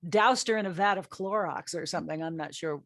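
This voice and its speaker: A woman's voice: fairly low pitch, brisk, a bit bright, dry recording. A woman speaking as an actress and host in a casual group discussion, chatty and given to anecdotes. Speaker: audio drama actress and panel host.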